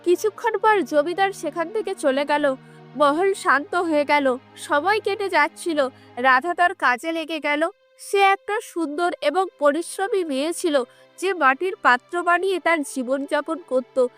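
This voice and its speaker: A woman's voice: very high voice, unhurried, a bit bright, faint music behind. A woman narrating a scripted cartoon fairy tale as the storyteller.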